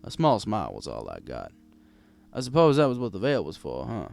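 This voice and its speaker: A man's voice: lowish voice, wildly swooping pitch, fairly quick, creaky, darkish tone. A man narrating a scripted first-person story as a world-weary, kindly old bartender. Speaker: audiobook narrator.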